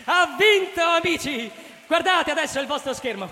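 A slick TV game-show host in an audio drama, very high and forceful in voice. He gushes theatrically to his audience, and the sound rings with long hall reverb. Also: lively intonation, normal speed, full character voice. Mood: excited, exultant. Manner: theatrical, emphatic, addressing the audience. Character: showy male TV game-show host, gushing, unctuous, slick.

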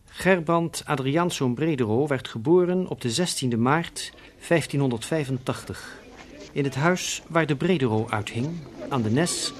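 A man narrating a radio documentary drama in a measured, formal announcing style. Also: mid-range voice.

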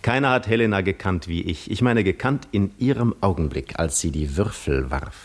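Cunning Greek war veteran, low voice, measured, reflective, self-assured, articulate, 1950s radio play monologue. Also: male voice, animated. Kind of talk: 1950s radio drama monologue, literary first-person narration.

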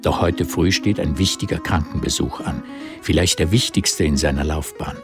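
A man's voice: extremely low pitch, moderate pace, over music. A man narrating a literary radio drama in scripted, present-tense prose.

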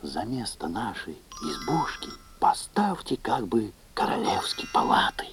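A man's voice: lowish voice, very animated, unhurried, faint music behind. A man playing a cheerful, cocky young peasant lad with simple, folksy comic delivery in a fairy-tale audio play. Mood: reassuring, matter-of-fact.

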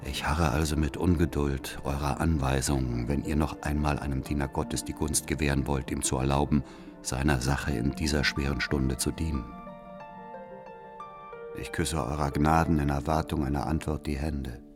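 An actor playing a devout, calculating church spy in a radio drama, reading out his reports in a formal, deliberate, deferential manner. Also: extremely low pitch, level tone. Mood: deferential, watchful. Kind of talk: radio drama letter monologue. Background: faint music.

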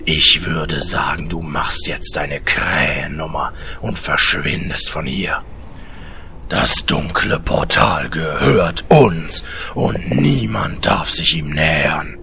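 Very deep, gravelly orc warlord. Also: male voice, pushed and bright, a little hiss or hum, exaggerated voice. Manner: pompous, menacing, slow. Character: gruff, pompous, threatening male orc warlord. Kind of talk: parody fantasy audio drama.

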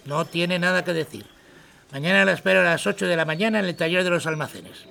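A man's voice: sits high, quick pace, creaky, bright, forceful tone, slight background noise, heavily acted. A man playing a courteous, businesslike workshop owner, speaking formally in a radio drama. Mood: formal, assured. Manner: formal, polite.

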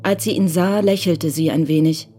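Woman, scripted literary narration, radio drama, slightly low pitch, clear music bed. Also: fairly even intonation, normal speed, warm tone.

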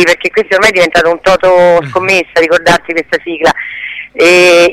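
A woman's voice: lowish voice, fairly bright tone, dry recording, rough recording. Woman guest explaining, close dry sound. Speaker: interview guest, actress and association president. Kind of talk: conversational radio interview.

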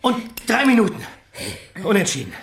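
Ein Schauspieler spielt in einem Hörspiel einen unternehmungslustigen Jugendlichen, der seinen besten Freund locker und ermutigend anspornt. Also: male voice, high voice, huge pitch swings, moderate pace, crowd noise, full character voice. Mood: brisk.

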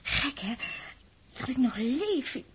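An actress playing a naive, fragile young space traveller in a 1960s Dutch radio drama, speaking haltingly and with wonder. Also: female voice, high-pitched, sing-song, slightly rough, full character voice. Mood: puzzled, wondering.

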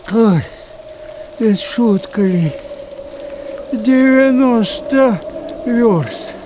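A weary, pious old peasant man in a radio drama, crying out in a high, drawn-out wail. His words come very slowly, over loud background noise. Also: heavily acted. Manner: wailing, drawn-out exclamations. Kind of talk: Soviet radio play acting.

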